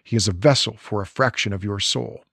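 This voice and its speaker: A man reading as gravelly old god Odin. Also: on the low side, brisk, a bit bright, no room sound, studio quality, pitched below the performer's own voice, full character voice. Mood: brooding. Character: Odin, ancient weary male god king. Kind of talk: reading comic dialogue aloud.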